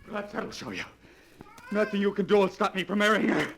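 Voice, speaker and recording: A man's voice: high-pitched, fairly quick. Desperate haunted man, theatrical, brooding, old-time radio drama, noisy vintage recording. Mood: frantic, enraged. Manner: theatrical, brooding narration rising to frantic outbursts. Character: haunted, desperate fiance confessing to his doctor. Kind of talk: 1940s radio melodrama acting.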